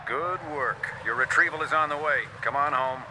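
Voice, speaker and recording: Man playing a higher-pitched radio controller amid noise. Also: moderate pace, natural voice. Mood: businesslike. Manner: clipped, commanding. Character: male military operations controller on radio, commanding, businesslike. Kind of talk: scripted video game voice acting. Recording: noisy intercepted radio transmission in game.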